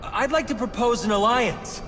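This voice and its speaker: Young preachy anarchist villain, highish voice, big reverb, music going behind him. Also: male voice, normal speed. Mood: grandiose, eager. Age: young adult. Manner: impassioned, grandiose oratory. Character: idealistic young male anarchist bomber, grandiose and preachy. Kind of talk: theatrical video game villain speechifying.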